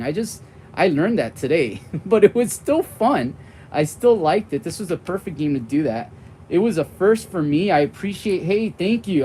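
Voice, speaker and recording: A man's voice: fairly high pitch, a little hiss or hum. A man in his forties with a young-sounding voice, chatting casually and rambling on tangents during a live board game stream. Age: 40s. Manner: warm and friendly toward viewers.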